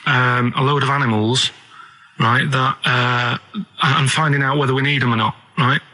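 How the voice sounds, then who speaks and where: A man's voice: mid-range voice, steady tone, moderate pace, sharp tone, low-quality recording. A man co-hosting a radio show in casual, rambling chat, earnestly puzzled and asking naive questions.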